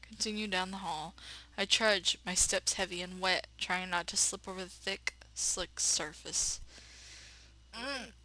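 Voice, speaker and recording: Female let's player, bright tone, expressive narration with playful, blunt running commentary. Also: lowish voice, a little slow, rough recording. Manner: expressive narration broken by blunt sassy asides. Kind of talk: reading a visual novel aloud with playful running commentary.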